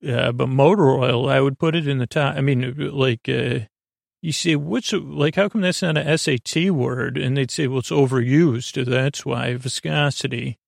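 A man hosting a bedtime podcast in a meandering, halting monologue, with a gravelly voice and a lulling, soothing manner. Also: mid-range voice, no echo, studio quality. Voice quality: gravelly, creaky. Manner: rambling, halting, self-interrupting. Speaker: podcast host.

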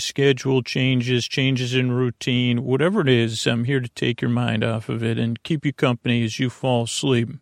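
A man hosting a sleep podcast in an informal, meandering, gently reassuring monologue, hesitating and trailing off mid-thought. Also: mid-range voice, vocal fry, no room sound, clean studio sound. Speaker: podcast host.

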